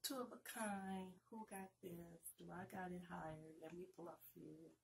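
A middle-aged woman doing a casual, flirty ASMR roleplay as a card dealer, chatting in an unhurried, conversational way close to the microphone. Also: on the low side, steady tone, vocal fry, background noise. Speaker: ASMR performer playing a blackjack dealer.

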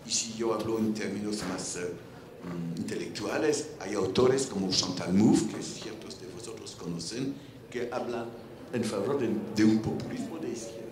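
A man's voice: on the low side, normal speed. An older man with a French accent, speaking on a round-table panel on a large indoor stage, searching for words with restarts, into a handheld mic. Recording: roomy hall with faint noise.

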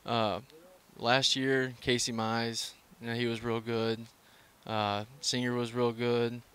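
A young male college baseball player in a postgame interview, speaking through a headset mic at an outdoor ballpark at night, halting, with many fillers and restarts. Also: medium pitch, little pitch movement, a bit bright, clean tone. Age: young adult.

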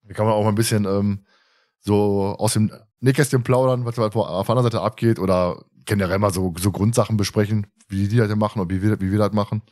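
A man's voice: on the low side, fairly even intonation, quick pace, a little mellow. A man hosting a podcast in casual, chatty conversation with a teasing manner. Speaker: podcast host.

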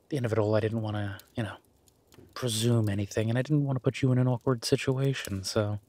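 A man playing a shy, gentlemanly boyfriend in an intimate romantic audio roleplay, speaking softly and haltingly with gentle teasing. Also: fairly low pitch, steady tone, natural voice. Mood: bashful, affectionate. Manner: hesitant, soft-spoken, gently teasing.

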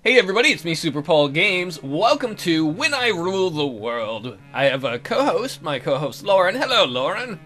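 A man's voice: on the high side, very animated, normal speed, hardly any pauses, bright, forceful tone. A man hosting a let's play with casual, joking commentary and a teasing manner. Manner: teasing, jokey.

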